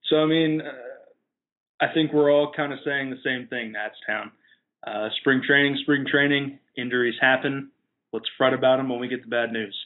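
A man hosting a sports podcast in a casual, somewhat halting chatty style. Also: mid-range voice, fairly even intonation, phone-quality sound.

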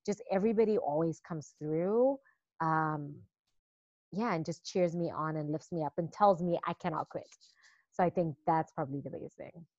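A woman's voice: low voice, normal speed, no room sound, dull audio. A woman in her thirties from Zimbabwe, a guest and care provider, talking freely in a casual interview and acting out quoted remarks. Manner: talkative, animated with quoted asides. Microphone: remote call.

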